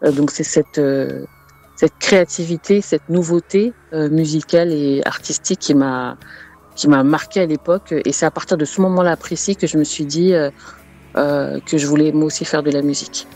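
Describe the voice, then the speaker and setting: A woman's voice: deep voice, normal speed, a little mellow. A woman music artist giving conversational interview answers, often searching for her words and repeating herself.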